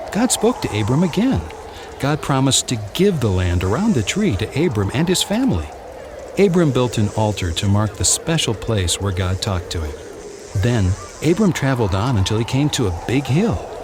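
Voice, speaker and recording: A man narrating a scripted children's Bible story in an expressive way, drawing out words for emphasis. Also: medium pitch.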